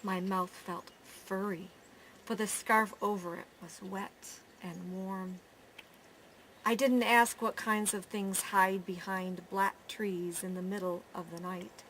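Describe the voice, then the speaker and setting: Lady reading a kids picture book really slowly, pauses between phrases, bit of background noise. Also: mid-range voice, flat delivery, fairly bright tone, rough recording. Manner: measured phrasing with pauses and drawn-out words. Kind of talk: children's story reading.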